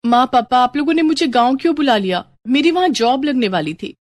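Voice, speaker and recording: A woman voicing an eager, impatient young bride in an expressive storybook dramatization. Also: high voice, a little fast, no echo, voice pushed up. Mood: protesting. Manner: expressive, exclaiming. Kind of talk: Hindi moral-story dramatization.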